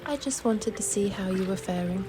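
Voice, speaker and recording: A woman's voice: medium pitch, normal speed, over music, natural voice. A woman voicing a bold, devoted adventurer in love, speaking intimately and with assurance in fantasy game dialogue. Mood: caring. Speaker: amateur voice actor.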